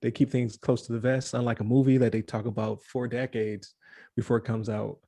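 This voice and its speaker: A man's voice: mid-range voice, little pitch movement, brisk, dark tone, no echo. A man co-hosting a casual podcast chat, joking and rambling with lots of fillers and restarts. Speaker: podcast co-host.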